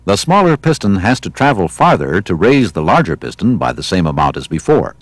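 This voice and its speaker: Male American training film narrator, low and slightly husky voice, measured teaching delivery, dry studio sound. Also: very animated, no echo. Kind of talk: scripted instructional narration. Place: studio voice-over.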